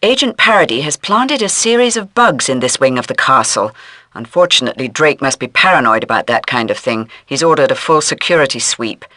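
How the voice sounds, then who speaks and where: An actress playing a stern intelligence handler giving crisp, formal mission briefings in a video game. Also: female voice, extremely low pitch, lively intonation, a little fast, sharp tone, no echo, exaggerated voice. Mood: stern, businesslike. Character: stern, businesslike intelligence handler directing a secret agent.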